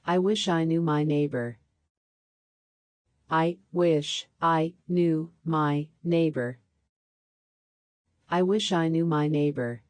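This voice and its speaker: A woman reading practice sentences aloud for a language lesson, saying each one three times with a slowed repeat. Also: low-pitched, fairly even intonation, darkish tone, dry recording. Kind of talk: slow scripted sentence drills.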